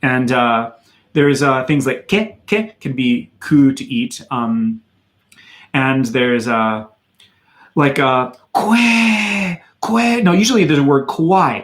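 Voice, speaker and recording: A man's voice: mid-range voice, fairly bright tone. A man in his thirties from Wisconsin with an American accent, chatting casually as he answers viewer questions on his livestream. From Madison, Wisconsin, USA. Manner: chatty and loose, many fillers, reads out viewer questions. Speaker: channel host answering chat questions.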